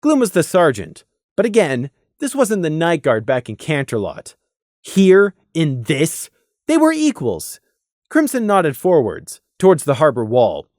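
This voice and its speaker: A man's voice: a little high, wildly swooping pitch, unhurried, darkish tone, dry recording, clean studio sound. A man reading scripted narration of a fantasy story as an audiobook narrator.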